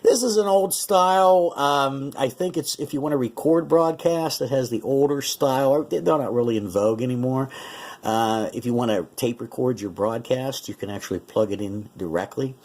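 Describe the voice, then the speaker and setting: A man with a mid-pitched voice talks through a product demonstration in a casual, conversational way. He explains features step by step as a reviewer would, stopping to correct himself along the way. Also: moderate pace, a little mellow. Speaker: radio reviewer.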